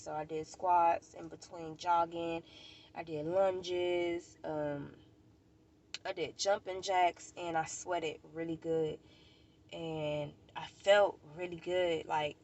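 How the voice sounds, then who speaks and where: An American woman with a fairly low voice that often drops into creaky fry. She sounds stuffed up in the nose and talks informally to camera as a vlogger, rambling along and circling back over her own words. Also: darkish tone. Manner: informal, rambling, repeats herself. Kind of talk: casual chat to camera. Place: outdoors at a park.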